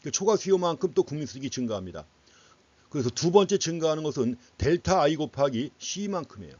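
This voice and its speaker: A man's voice: a little high, sing-song, normal speed, darkish tone, no echo. A man giving an explanatory economics lecture in a didactic way, putting questions to students and repeating key phrases for emphasis. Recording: dull, lo-fi lecture audio.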